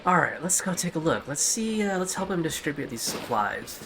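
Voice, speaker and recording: A woman's voice: low-pitched, very animated, normal speed, noisy background. A woman streaming a game, thinking aloud in casual, wry commentary. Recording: loud game music behind.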